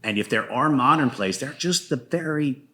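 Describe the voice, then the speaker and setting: A man talking casually as a playwright guest in an interview, enthusiastic, with a rambling, halting delivery. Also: mid-range voice, lively intonation, pushed and bright.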